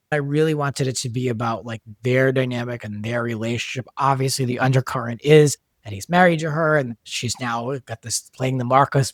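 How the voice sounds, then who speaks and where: A man's voice: mid-range voice, no room sound, clean studio sound. A man talking casually as a writer and director, discussing a drama production. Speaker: podcast guest, audio soap writer and director.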